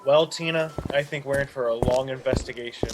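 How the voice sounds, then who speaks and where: A woman playing a calm, methodical police detective in an audio drama. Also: rumbling low, very noisy. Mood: measured. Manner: measured, methodical.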